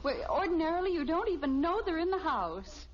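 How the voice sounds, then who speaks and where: A woman's voice: very high-pitched, big pitch swings, fairly quick. An actress playing a proper, fussy, easily flustered wife and mother in an old-time radio family sitcom. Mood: flustered politeness. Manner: fussy, exasperated, polite. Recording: muffled, noisy old radio recording.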